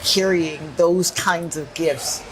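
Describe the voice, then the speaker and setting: A woman hosting an interview show, animated and effusive, laughing often and piling up quick affirmations. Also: fairly low pitch, rough recording. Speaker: podcast host. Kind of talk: casual interview chat.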